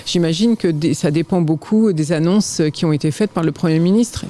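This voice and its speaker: A man's voice: on the high side, brisk, dark tone. A man conducting a conversational interview, hesitating and rephrasing his questions as he goes.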